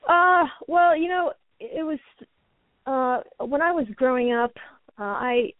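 A woman's voice: high-pitched, dry recording. American woman on a narrow phone line. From New York, United States. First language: Chinese. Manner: rambling, halting, often repeats words. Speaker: guest, actress and martial artist. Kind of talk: conversational phone interview. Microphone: telephone call.

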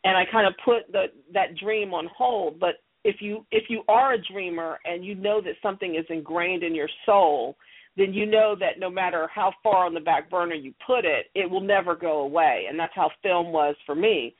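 A woman's voice: lowish voice, moderate pace. A middle-aged woman talking earnestly as an interview guest, telling personal stories in an encouraging, emphatic way over a phone line. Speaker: interview guest, audio theater creator. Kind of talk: conversational interview, personal storytelling. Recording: narrow, tinny phone-line sound.

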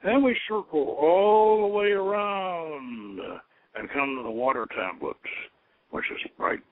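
Slow, halting man on a tinny line. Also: high voice, animated, dry recording. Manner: halting, deliberate. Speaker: host leading a ritual. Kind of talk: informal ritual instruction mixed with solemn chanted invocations. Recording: narrow tinny phone-like radio sound.

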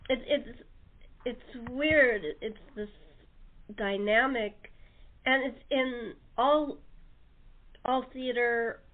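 Halting woman host on muffled narrow-band audio. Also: a little high. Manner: halting, rambling, often restarting sentences. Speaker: radio show host. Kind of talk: casual chat interview.

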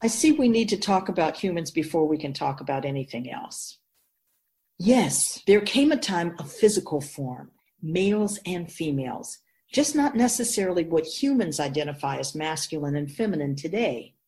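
A woman playing a wise otherworldly spirit in a radio drama, explaining things patiently in a measured, knowing way. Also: low voice, lively intonation, darkish tone. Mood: patient, explanatory. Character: Keeper of Souls, wise otherworldly spirit in female voice.

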